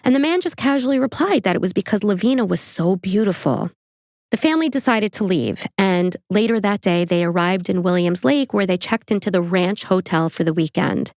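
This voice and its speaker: A woman's voice: mid-range voice, expressive, brisk, a little mellow, dry recording, studio quality. A woman with a New York accent hosting a true crime podcast, reading the case and breaking off for casual, joking asides.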